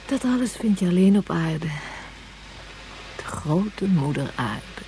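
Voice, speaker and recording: A woman's voice: fairly low pitch, lively intonation, takes it slowly, warm tone. A woman acting a wistful, caring mother in a Dutch science fiction radio drama, on a noisy recording. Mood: wistful, dreamy.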